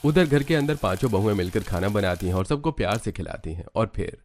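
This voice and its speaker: A man's voice: on the low side, expressive, a little fast, darkish tone, faint music behind. A man narrating a scripted cartoon story as its storyteller.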